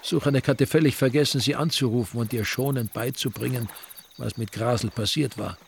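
A man's voice: mid-range voice, normal speed, gravelly, slight background noise. A man with an Austrian accent giving wry scripted narration in a radio drama. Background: music.

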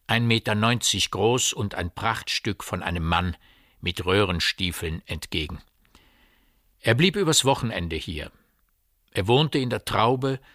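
A man's voice: lowish voice, a bit bright, no echo, clean studio sound. A man narrating a radio drama in scripted literary prose.

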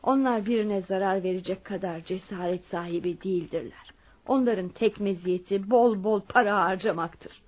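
A woman's voice: mid-range voice, moderate pace, close to an everyday voice. Generous, devout elderly lady, acted by a woman. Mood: resolute, kindly. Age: older. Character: wealthy, generous, devout elderly aunt making her will. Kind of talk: radio drama acting.

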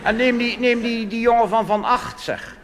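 A Dutch cabaret comedian performing a blustering, pompous reactionary army officer in a rambling stage monologue. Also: male voice, extremely high pitch, fairly even intonation, normal speed, crowd noise, voice pushed up. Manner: pompous, rambling, tag questions. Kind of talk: stand-up cabaret monologue in character. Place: theater stage before a live audience. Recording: live stage recording with hall reverb and hiss.

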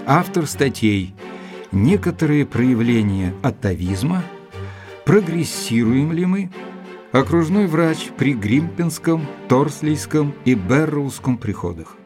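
An actor in an audio drama playing a courteous, earnest, mildly sceptical gentleman who speaks and narrates in a measured, literate way. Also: medium pitch, expressive, music in the background, lightly acted. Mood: measured, narrating.

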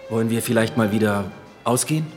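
A young police inspector, played by a man in a radio drama, with a lowish voice and brisk, defensive delivery. He answers quickly and carefully. A long reverb trails his words. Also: soft background music, close to an everyday voice. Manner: quick, guarded replies.

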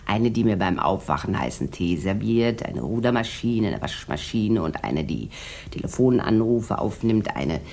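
A woman's voice: extremely low pitch, big pitch swings, normal speed, vocal fry, darkish tone, heavily acted. A woman playing a bored, boozy rich housewife in a 1960s German radio drama, theatrical and sardonic, drawing out her rambling remarks. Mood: tipsy, expansive.

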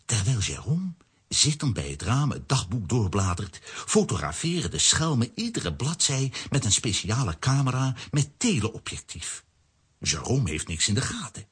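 An actor playing the narrator of a comic adventure in a children's radio play, with a husky voice. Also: male voice, medium pitch, big pitch swings, moderate pace. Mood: storytelling.

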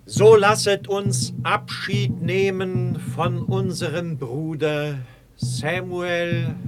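Over prominent guitar music, a solemn burial preacher. His fairly high male voice moves extremely slowly, measured and ceremonial, as in a radio drama. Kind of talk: radio play funeral oration.

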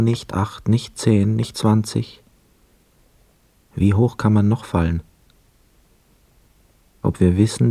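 An actor playing a brooding young hotel guest, narrating a literary radio play in a reflective, measured, sombre manner. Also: male voice, fairly low pitch, mellow, breathy edge, no echo.

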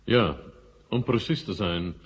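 A man acting an assured, stern Russian marshal in a science-fiction radio drama, measured and formal. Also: fairly low pitch, slightly rough. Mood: stern, confident. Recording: muffled old radio recording.

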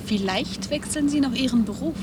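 A woman's voice: high-pitched, moderate pace, very noisy, natural voice. An actress playing a dreamy, self-assured woman passenger in a German radio drama. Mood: dreamy, pointed.

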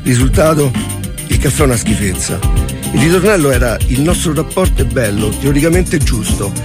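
A man reading scripted literary narration for radio in a reflective manner with measured phrasing. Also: medium pitch, lively intonation. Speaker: reader. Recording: loud music under voice. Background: loud music.

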